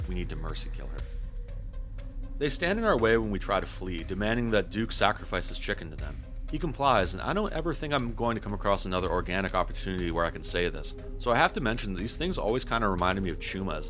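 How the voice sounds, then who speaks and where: Male narrator for game review, very deep and quick, wry and casually sweary. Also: very animated, a bit bright, soft background music. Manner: wry, wordy, casually profane.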